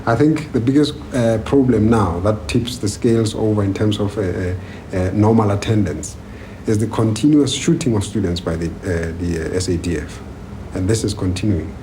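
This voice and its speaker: South African man, low mellow voice, noisy recording. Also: moderate pace. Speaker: education activist interviewee. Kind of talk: documentary interview. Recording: noisy 1980s newsreel location sound.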